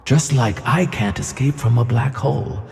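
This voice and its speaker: A man playing a gentle, wise magical tuna fish who reassures his friend in a children's audio play. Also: medium pitch, moderate pace, gravelly, big-room sound, lightly acted. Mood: patient, encouraging.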